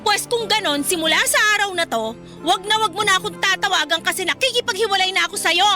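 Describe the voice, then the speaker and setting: A woman's voice: very high-pitched, fast. A woman playing a brash, manipulative young girlfriend in a radio drama, with a pushy, dramatic manner. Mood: angry, scornful. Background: faint background music.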